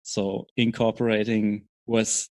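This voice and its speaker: A man answering as an interview guest in casual chat, explaining things in a measured, hesitant way. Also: lowish voice, level tone, a little mellow, dry recording. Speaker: podcast guest, software startup co-founder.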